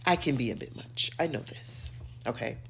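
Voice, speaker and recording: A middle-aged American woman giving an opinionated rant to camera, emphatic, sassy and theatrical, with dramatic pauses and drawn-out words. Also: rumbling low, animated, vocal fry, slight background noise, rough recording. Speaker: social media commentator.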